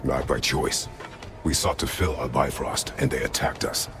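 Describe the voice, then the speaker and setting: An actor playing a stern, terse, battle-hardened warrior father in a video game, gravelly and formal. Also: rumbling low, moderate pace, music in the background. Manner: clipped, formal.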